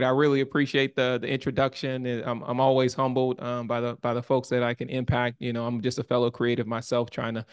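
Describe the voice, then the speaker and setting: A man in his thirties, speaking as an interview guest over a video call from a room at home, in a measured, humble way that often repeats words as he thinks. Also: medium pitch, clean tone, no echo, clean studio sound. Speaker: guest, marketing consultant. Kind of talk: interview answers. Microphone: video call webcam.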